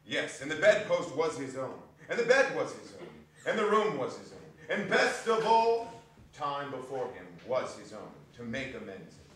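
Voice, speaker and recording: Animated male narrator in echoey hall. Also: mid-range voice, moderate pace. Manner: expressive, relishing lists and repeated phrases. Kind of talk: theatrical narration of a dramatic reading. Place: indoor performance space. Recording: echoey hall, distant microphone.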